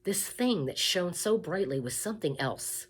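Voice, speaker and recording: A woman reading a children's story aloud expressively, with deliberate emphasis, addressing the listener directly. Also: fairly low pitch, lively intonation, husky.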